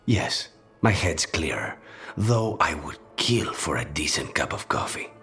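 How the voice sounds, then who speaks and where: A brooding game assassin with an extremely deep, breathy voice. He speaks slowly and with measured, dry menace, weary and guarded, over background music. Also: big pitch swings, pushed and bright, full character voice. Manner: measured, understated, dryly menacing. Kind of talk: video game dialogue acting.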